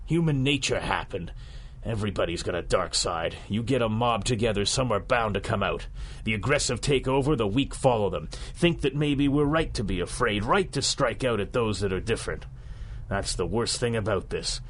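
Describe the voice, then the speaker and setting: An actor playing a jittery, guilt-ridden streetwise informant in a 1930s-style radio drama, talking in terse slang. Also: male voice, lowish voice, expressive, normal speed, a little hiss or hum, natural voice. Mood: tense, grim. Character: jittery, guilt-ridden male mob member turned informant.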